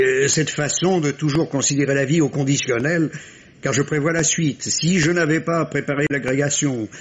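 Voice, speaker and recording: An actor playing a cool, impatient, middle-aged French surgeon in a formal, exasperated manner, in a 1950s-style radio drama. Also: male voice, a little high, husky. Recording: dull, noisy old radio recording.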